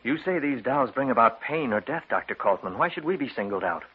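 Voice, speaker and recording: A man's voice: mid-range voice, animated, brisk, slightly rough, dry recording. An actor playing an earnest man who narrates his uncanny experience with solemn, dramatic old radio delivery. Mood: puzzled, uneasy. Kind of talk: old-time radio horror narration and acting. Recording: muffled vintage radio, loud music behind.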